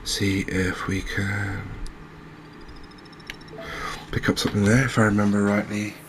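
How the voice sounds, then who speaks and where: A man giving soft-spoken ASMR commentary as he plays a video game, in a measured, gentle, chatty manner. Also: lowish voice, level tone, background noise. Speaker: host playing a fishing video game.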